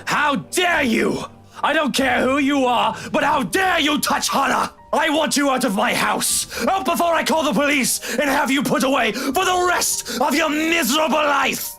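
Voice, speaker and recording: Woman playing a forceful, protective angry husband. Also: high-pitched, animated, normal speed, soft background music, voice pushed up. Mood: furious. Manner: forceful, emphatic.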